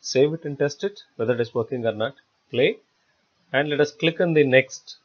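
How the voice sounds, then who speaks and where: A man giving step-by-step software tutorial narration as an instructor, in a halting, repetitive explanatory way. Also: mid-range voice. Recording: dull lo-fi voice-over recording.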